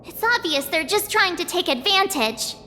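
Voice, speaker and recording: A woman's voice: very high-pitched, expressive, moderate pace, sharp tone, a little hiss or hum, exaggerated voice. A woman voicing a perky, outspoken teenage girl adventurer in a video game, informal and spirited. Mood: indignant. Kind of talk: video game cutscene voice acting. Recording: long hall reverb, background music.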